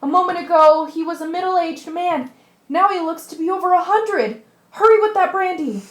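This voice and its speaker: A woman acts a ship's mate in an amateur radio play, firm and full of schemes. The voice is high and earnest, taking command of the crew. The room rings with echo. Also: moderate pace. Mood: concerned, shocked. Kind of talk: amateur radio drama acting. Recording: echoey room.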